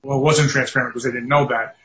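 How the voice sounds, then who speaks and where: An older man with a strong New York accent talks in an easy, chatty way, slipping in wry asides about himself. His mid-pitched voice comes through a somewhat muffled, lo-fi remote connection. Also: steady tone, fast, a bit bright. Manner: chatty, self-deprecating asides. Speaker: guest, recruiting firm founder. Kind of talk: podcast interview. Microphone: remote call. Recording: dull lo-fi remote audio.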